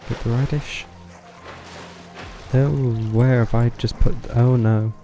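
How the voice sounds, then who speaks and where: A man's voice: mid-range voice, steady tone, slow, dark tone. A man giving casual live game commentary, dryly muttering to himself, with game music behind him. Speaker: game streamer. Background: video game music.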